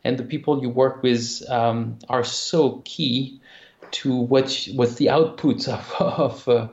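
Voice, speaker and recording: French man with gravelly, echoey call audio. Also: mid-range voice, steady tone, darkish tone, lo-fi sound, a little hiss or hum. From France. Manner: halting, many restarts and fillers, laughs easily. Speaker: game company founder and guest. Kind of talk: casual interview over a call.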